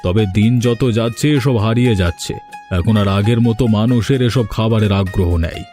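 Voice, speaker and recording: A man voicing a friendly, nostalgic, ageing village gentleman in a cartoon fairy tale. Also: on the low side, brisk, soft background music, close to an everyday voice.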